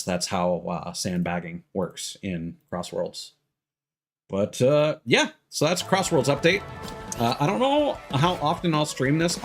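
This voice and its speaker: Rambling male game streamer over music. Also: fairly low pitch. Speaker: game livestreamer. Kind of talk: casual livestream commentary.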